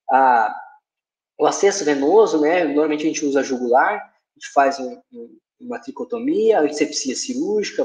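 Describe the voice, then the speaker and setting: A Brazilian man with a slightly high voice explains clinical material as a lecturing veterinarian. His delivery is halting, with frequent small check-ins to the listener. The sound is quite echoey, as from a room on a livestream. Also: darkish tone. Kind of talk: explanatory online lecture with slides. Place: indoor room, online livestream.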